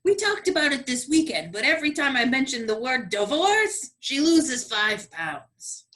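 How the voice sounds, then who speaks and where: A woman plays a married man placating his mistress, earnest and possessive. The voice is bright and high, with melodrama in the manner of a comedy radio play. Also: sing-song, normal speed, full character voice.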